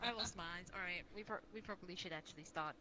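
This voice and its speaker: A woman's voice: on the low side, fast talker, crowd noise. A woman in her twenties chatting casually and giving informal instructions as a roleplay group leader, often self-deprecating. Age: 20s. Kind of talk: casual improvised roleplay chat. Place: online virtual-reality voice chat. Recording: clearly noisy online voice chat.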